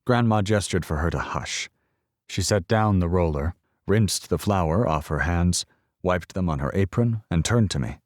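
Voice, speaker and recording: A man narrating an audiobook in the first person, measured and expressive. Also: low voice, no echo, clean studio sound.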